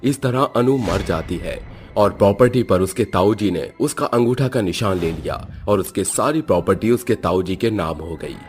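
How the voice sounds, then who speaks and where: A man's voice: lowish voice, animated, moderate pace, soft background music. A man narrating a scripted horror cartoon story in a dramatic storytelling style.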